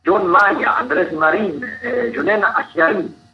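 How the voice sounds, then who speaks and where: A man hosting a radio show, recommending events off the cuff in a halting, rambling way and often correcting himself. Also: sits high, vocal fry, dull audio. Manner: halting, rambling, self-correcting mid-sentence. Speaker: radio host. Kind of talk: unscripted radio recommendations of theatre and dance events.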